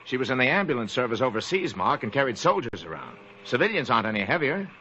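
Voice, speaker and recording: A man playing a brisk, confident police captain in an old-time radio crime drama, with an authoritative, clipped manner on a noisy vintage recording. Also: mid-range voice, sing-song, bright, forceful tone, highs cut off. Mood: confident, decisive.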